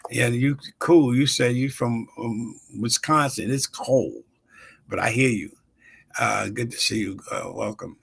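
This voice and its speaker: A man in his sixties hosting his own show, talking casually with lots of pauses and fillers and greeting viewers by name. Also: medium pitch, gravelly, sharp tone, a little hiss or hum, rough recording. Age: 60s. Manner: rambling, halting, many fillers. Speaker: show host. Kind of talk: casual live-stream talk.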